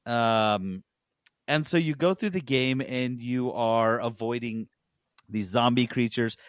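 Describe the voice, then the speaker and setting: A man's voice: mid-range voice, slow, no room sound, studio quality. A man co-hosting a podcast, giving a casual, rambling review and often correcting himself as he goes. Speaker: podcast co-host reviewing games.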